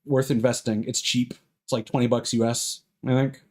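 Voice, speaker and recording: A man's voice: mid-range voice, little pitch movement, moderate pace, a little mellow. A man giving casual, rambling, enthusiastic video game commentary as a livestreamer, swearing freely. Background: game music.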